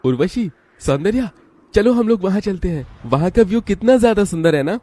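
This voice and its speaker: A man playing a kindly, concerned father in a cartoon story, speaking gently. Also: sits high, animated, a little fast, no echo. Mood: cheerful. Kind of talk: cartoon bedtime story voice acting.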